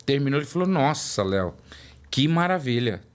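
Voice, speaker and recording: Interview guest: paulistano man, forties, mid-pitched voice, lively anecdotes. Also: normal speed, clear, resonant tone. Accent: São Paulo Portuguese. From São Paulo, Brazil. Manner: storytelling, repeats words for emphasis. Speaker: guest, product development director. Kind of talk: interview conversation.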